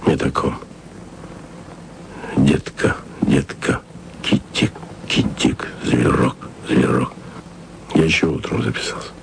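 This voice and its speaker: An actor playing a wistful radio archivist who speaks softly and intimately to his beloved in a radio play. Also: steady tone, slow, pauses often, background noise, exaggerated voice. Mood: tender. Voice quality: low, gravelly voice. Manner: soft, intimate, sometimes whispered. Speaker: radio man speaking to his distant lover.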